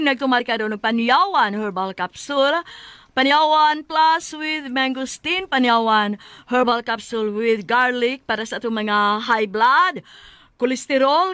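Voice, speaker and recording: Casting: female Cebuano radio announcer, high voice, deliberate ad-reading delivery, dry close studio sound. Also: pushed and bright, no echo. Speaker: radio co-host and product announcer.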